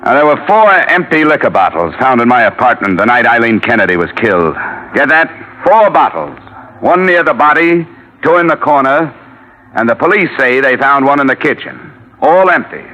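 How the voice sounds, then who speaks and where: Through a dull vintage radio sound comes a tough male investigator on trial. His voice is forceful and bright, with terse, direct, intense delivery. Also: mid-range voice, very animated, normal speed. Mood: determined. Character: tough, urgent male investigator accused of murder. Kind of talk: old-time radio detective acting.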